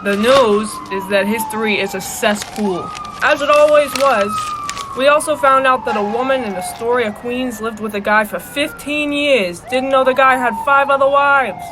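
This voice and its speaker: A man acting a dour, cynical construction worker on stage, terse, deadpan and reluctant. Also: very high-pitched, normal speed. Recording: noisy outdoor recording with sirens.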